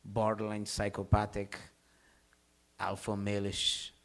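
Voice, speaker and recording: A man's voice: fairly low pitch, completely flat delivery, slow pace, creaky, fairly bright tone. A man talking casually with his cast, often repeating himself in agreement. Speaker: table read cast member. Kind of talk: casual chat.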